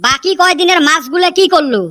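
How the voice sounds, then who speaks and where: A woman's voice: extremely high pitch, brisk, a bit bright. A woman doing a sly, teasing village man. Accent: Rangpur dialect. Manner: teasing, scheming chatter. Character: sly male village friend, scheming and teasing. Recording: noisy homemade recording.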